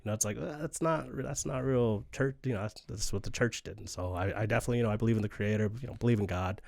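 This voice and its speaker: Guest man, forties, from the US. Quick, low-ish, easygoing and chatty, dry close sound. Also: gravelly, darkish tone, dry recording. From United States. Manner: easygoing, rambling, laughs often. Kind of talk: relaxed conversational storytelling.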